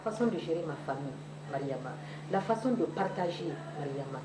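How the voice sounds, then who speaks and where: Woman, slightly low voice, rhythmic testimonial, echoey and noisy recording. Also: lively intonation, fairly quick. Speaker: former pupil giving testimonial.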